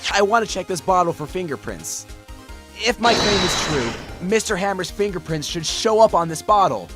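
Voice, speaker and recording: A man's voice: high-pitched, big pitch swings, normal speed, over loud music. A man playing an earnest, determined young defense attorney, arguing dramatically in court in an amateur game fandub. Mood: assertive. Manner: declamatory courtroom argument.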